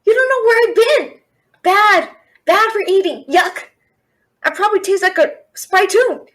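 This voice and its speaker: A woman playing a brash, fast-talking young female thief and failed alchemist in a fantasy audio roleplay, chattering with sarcastic, exasperated bluster. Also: extremely high pitch, a bit bright. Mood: nervous bragging. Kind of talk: fantasy audio roleplay, addressing an unseen listener.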